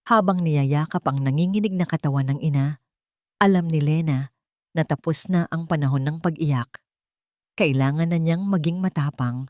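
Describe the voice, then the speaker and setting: Woman narrating, low and dry. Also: darkish tone, no room sound. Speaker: storyteller, narrator. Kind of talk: dramatic scripted story narration.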